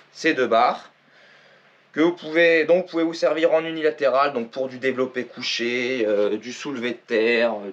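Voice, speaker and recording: A man's voice: mid-range voice, highs cut off. A man in his thirties giving a casual, enthusiastic walk-through of his garage home gym, talking to a camera that follows him, with lots of fillers and restarts. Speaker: home gym owner presenting equipment. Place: small garage home gym with concrete block walls, indoors.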